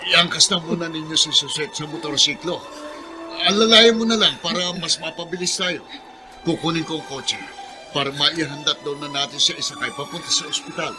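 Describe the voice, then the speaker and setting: A man's voice: a little high, normal speed, music in the background, natural voice. An actor playing a helpful older man giving urgent instructions in a radio drama. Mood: practical.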